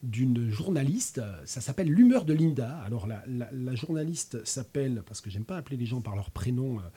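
A man's voice: medium pitch, animated, fairly quick, dark tone, slightly rough. A man hosting a radio discussion, hesitant and often stumbling over his words. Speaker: radio host. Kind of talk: casual hosted discussion.

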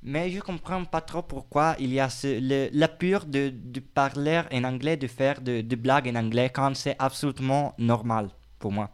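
Italian-accented male guest, clear tone, halting casual talk. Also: mid-range voice. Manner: hesitant, many restarts in a second language. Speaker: radio guest. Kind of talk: casual radio chat.

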